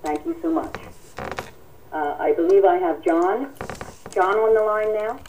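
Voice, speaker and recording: A woman hosting a telephone town hall as a union president, speaking formally and politely with halting delivery while taking callers. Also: mid-range voice, a little mellow, a little hiss or hum. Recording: distant radio-like telephone conference audio. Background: telephone conference call.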